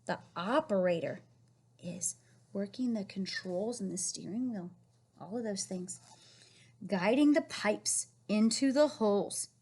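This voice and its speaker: A woman reading a picture book aloud to young children, encouraging and questioning them in an animated way with a husky, breathy voice. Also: mid-range voice, a little slow. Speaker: read-aloud storyteller teaching young children.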